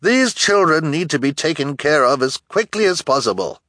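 Man acting shrill, indignant townsfolk out for blood. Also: animated, normal speed, fairly bright tone, no room sound, studio quality. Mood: outraged. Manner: strident, exclaiming. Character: indignant, vindictive townsfolk, shrill and self-righteous mob members. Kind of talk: audiobook character voices.

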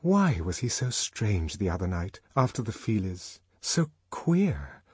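A male narrator gives voice to a lovestruck young woman in a novel, anxious and melancholy as she thinks to herself. The voice is husky and dry, with expressive rises and falls. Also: on the low side, unhurried, no room sound, heavily acted. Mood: wistful doubt.

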